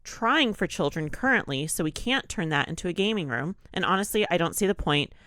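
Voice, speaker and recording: A woman with a slightly low, congested voice reads a post aloud for a podcast in an easygoing, chatty way. She sounds a little nasal, and the recording is dry and close. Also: brisk, dry recording. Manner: relaxed, laughs often. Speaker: podcast co-host. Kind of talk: casual chat, reading a post aloud.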